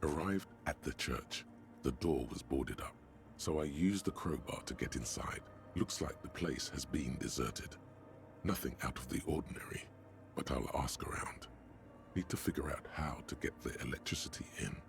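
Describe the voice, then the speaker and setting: Deep gravelly game narrator. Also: male voice, moderate pace. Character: gravelly omniscient male narrator of a detective game. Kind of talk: scripted video game narration.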